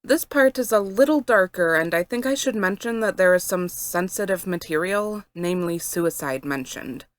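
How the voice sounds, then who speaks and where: A woman reading fan fiction aloud as an expressive story narrator. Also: fairly low pitch, normal speed, few pauses.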